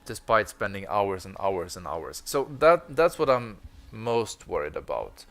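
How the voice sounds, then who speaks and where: A man's voice: fairly low pitch, very animated, unhurried, a bit bright. A man streaming a video game, explaining goals in a hesitant, filler-heavy way. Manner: hesitant, many ums and uhs. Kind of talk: casual gameplay commentary.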